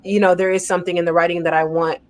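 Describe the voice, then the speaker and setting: A woman poet on a discussion panel, talking in an energetic, emphatic way and often checking in with "right?". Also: lowish voice, rapid-fire.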